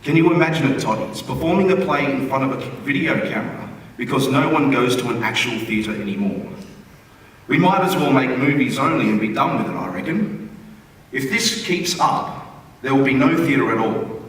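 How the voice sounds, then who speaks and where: A man's voice: medium pitch, moderate pace, fairly bright tone. Echoey Australian man reading to an audience. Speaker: reader at a theatre forum. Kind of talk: reading a written humorous postcard aloud to an audience. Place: large indoor theatre forum venue. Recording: echoey hall, distant mic, room noise.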